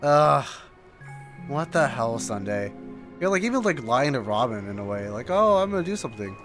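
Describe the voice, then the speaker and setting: A man gives casual live commentary as he streams a video game. His voice is medium in pitch, and he talks haltingly, doubling back on his words. Faint game music runs underneath. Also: big pitch swings, a bit bright. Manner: informal, halting, repeats himself.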